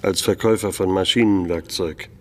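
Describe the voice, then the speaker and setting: An actor playing a weary, wary private detective in a radio play, speaking in a dry, terse, sceptical manner. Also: male voice, low voice, fairly even intonation, fairly quick, a little mellow, a little hiss or hum, natural voice. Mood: tired, sceptical. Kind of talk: German radio play acting with first-person narration.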